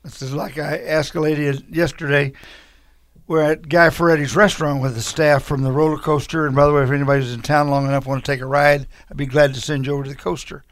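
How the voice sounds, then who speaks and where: A man's voice: on the high side, little pitch movement, fast, dry recording. Teasing, chatty radio host man. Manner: jokey, teasing, talkative. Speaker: radio morning show host. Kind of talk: casual radio chat. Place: radio studio.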